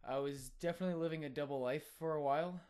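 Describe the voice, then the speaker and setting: A man's voice: on the high side, very fast, a little mellow, clear, resonant tone. Earnest young man telling his story. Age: young adult. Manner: earnest, reflective, frequent "um" fillers. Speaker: college student sharing testimony. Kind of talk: personal testimony.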